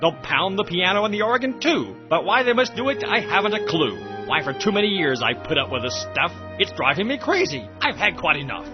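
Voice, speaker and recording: A man voicing a mean, bitter old man with a higher, grumbling voice, in a rhyming storybook reading. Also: big pitch swings, fairly quick, few pauses. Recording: dull lo-fi recording with music.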